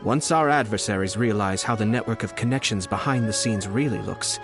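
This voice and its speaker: An actor playing a calm, shrewd young nobleman who explains schemes in a measured, deliberate way for a video game. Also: on the low side, lightly acted. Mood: calm, persuasive. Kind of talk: English video game voice acting. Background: background music.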